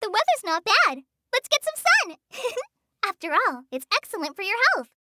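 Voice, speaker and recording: A woman's voice: very high-pitched, sing-song, moderate pace, sharp tone, no room sound, exaggerated voice. Shy young ranger girl. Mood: gentle. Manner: hesitant, apologetic, sighing. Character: shy young female forest ranger apprentice. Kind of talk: game character voice lines. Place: studio booth.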